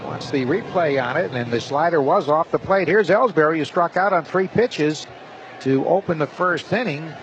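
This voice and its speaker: A man's voice: fairly high pitch, expressive, moderate pace. A man doing live play-by-play commentary as a baseball announcer. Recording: clear outdoor ballpark noise. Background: outdoor stadium ambience.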